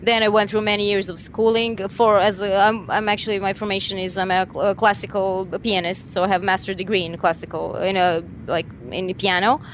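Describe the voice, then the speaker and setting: A woman's voice: medium pitch, level tone, brisk, few pauses, a little hiss or hum, poor audio. A woman from Serbia, speaking English with a Serbian accent as her second language, interviewed over a video call and talking with frequent hesitations and restarts. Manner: hesitant, many fillers and restarts. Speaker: band singer and composer.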